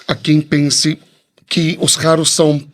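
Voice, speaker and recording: A Brazilian man speaks as a priest and podcast guest in a fairly high voice. His delivery is heartfelt and rhetorical, with an effusive, devout way of addressing people. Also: level tone, slow pace. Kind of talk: heartfelt spoken message.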